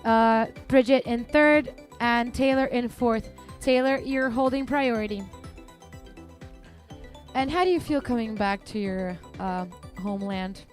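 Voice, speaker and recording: Woman event caller, slightly high voice, chatty and upbeat, light music behind. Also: unhurried. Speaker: event commentator and interviewer. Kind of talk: live sports commentary and interviews. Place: outdoor beach event.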